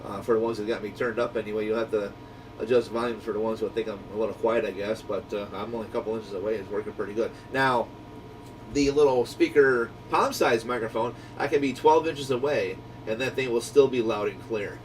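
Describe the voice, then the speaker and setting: A man's voice: fairly low pitch, steady tone, fairly quick, far from the mic, a little hiss or hum, poor audio. A man hosting a radio group in a folksy, easygoing way, explaining things in casual instructional chat. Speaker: radio shop owner hosting a walkie-talkie group channel.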